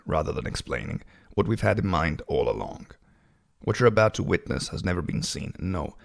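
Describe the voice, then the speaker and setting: Deep, gravelly man presenting his work. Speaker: author presenting his project. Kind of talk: scripted introduction to camera.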